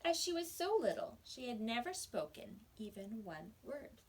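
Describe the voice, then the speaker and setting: A woman teacher reading a picture book aloud to young children with expressive storytelling and dramatic pauses. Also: on the high side, slightly rough, poor audio. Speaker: kindergarten teacher.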